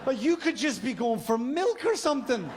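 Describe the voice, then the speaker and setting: An Irish man doing stand-up comedy storytelling, with a halting, stammering, repetitive delivery. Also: extremely high pitch, sing-song. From Wexford, Ireland. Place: comedy stage before a live audience. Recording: live club stage, noisy laughing crowd. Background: audience laughter and crowd noise.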